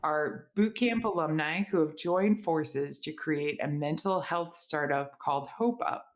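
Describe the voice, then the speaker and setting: A woman hosting an interview podcast, introducing guests politely and asking questions with "you know" fillers. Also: low-pitched. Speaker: podcast host.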